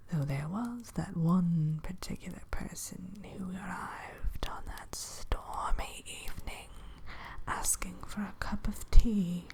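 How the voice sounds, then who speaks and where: A woman playing a haughty, grudging witch innkeeper in a whispered ASMR roleplay, speaking in prim, old-fashioned phrases with hesitant pauses. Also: lowish voice, creaky, mellow. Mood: grudgingly accommodating.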